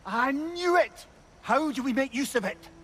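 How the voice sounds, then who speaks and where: A man voicing a talkative, wise old companion in a video game, cheeky, excitable and articulate. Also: very high voice, a little slow, slight background noise, heavily acted. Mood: excited. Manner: animated, articulate, opinionated. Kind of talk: dramatic video game acting.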